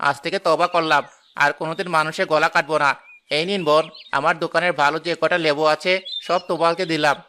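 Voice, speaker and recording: A man voicing a greedy village lemon seller in a cartoon, talking in a quick, pleading way. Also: a little high, fairly even intonation, sharp tone, a little hiss or hum. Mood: remorseful. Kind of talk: cartoon voice acting.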